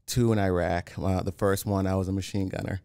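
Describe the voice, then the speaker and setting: Very deep-voiced, calm interview guest man. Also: fairly quick, clean tone, no room sound, clean studio sound. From Haiti. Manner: calm, plain-spoken, restarts often. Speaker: guest, real estate developer. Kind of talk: casual interview.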